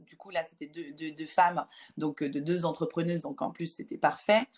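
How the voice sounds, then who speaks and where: A woman, answering conversationally in an interview, often pausing on filler sounds as she goes. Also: low voice, steady tone. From Lyon, France. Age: 20s. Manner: hesitant, halting delivery with frequent filled pauses. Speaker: interview guest, start-up founder and pharmacist.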